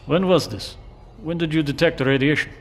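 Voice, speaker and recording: A man's voice: on the high side, fairly quick, close to an everyday voice. A man acting in an audio drama as a lonely Russian tracking station operator, earnest and a little formal in his phrasing. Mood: earnest, concerned. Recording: reverberant, faintly noisy radio exchange.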